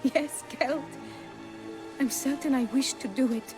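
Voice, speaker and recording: Resolute young noblewoman for a fantasy game: refined, precise, fairly high-pitched, light score underneath. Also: a little slow. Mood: impassioned. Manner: formal, courtly, precise phrasing. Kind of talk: video game cutscene acting. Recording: faint music under the voice.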